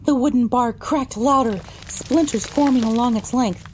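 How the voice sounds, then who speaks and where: A woman's voice: on the high side, normal speed, darkish tone, slight background noise, natural voice. A woman narrating a first-person story for an audio drama, reading descriptively with dramatic phrasing.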